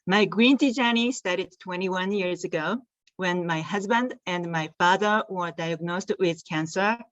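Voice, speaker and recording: A woman's voice: lowish voice, a little slow, a bit bright, no room sound. A woman with a Japanese accent tells her story earnestly and at a careful pace. The call audio is dry and dull. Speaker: guest, tea company founder. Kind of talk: storytelling interview answer.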